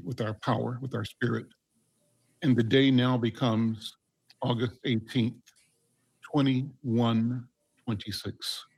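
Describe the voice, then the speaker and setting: A man hosting a community video call, interviewing guests with halting speech full of fillers and restarts. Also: medium pitch, a little mellow, slightly rough, poor audio. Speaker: host.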